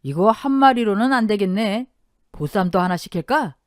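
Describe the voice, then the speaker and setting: A woman voicing a blunt, gruff older male restaurant owner who scolds loudly but kindly, in a dry recording. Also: mid-range voice, animated, moderate pace, no echo, lightly acted.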